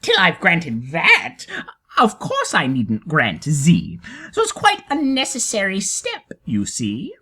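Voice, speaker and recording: A man's voice: a little high, wildly swooping pitch, slow pace, sharp tone, breathy edge, exaggerated voice. A man voicing a sly, pedantic tortoise in a dramatic storybook reading, politely stubborn, precise and mock-innocent.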